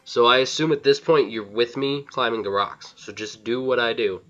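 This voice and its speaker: A man's voice: mid-range voice, moderate pace, a bit bright. A man narrating a video game walkthrough as its host, giving plain step-by-step directions. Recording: slightly dull lo-fi voice-over. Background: faint game music.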